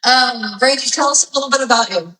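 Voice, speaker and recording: A woman's voice: fairly high pitch, brisk, sharp tone, far from the mic, low-quality recording. Chatty woman hosting an interview. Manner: chatty, playful, a bit rambling. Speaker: podcast host. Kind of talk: casual interview.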